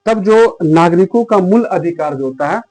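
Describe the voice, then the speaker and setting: High-pitched male Indian teacher, repeating key phrases. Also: lively intonation, moderate pace, a little mellow. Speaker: teacher for competitive exam students. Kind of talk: explanatory slide lecture.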